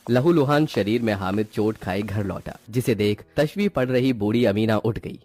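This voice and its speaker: A man's voice: on the low side, normal speed, a little mellow, no echo, soft background music. A man reading scripted narration for a moral story.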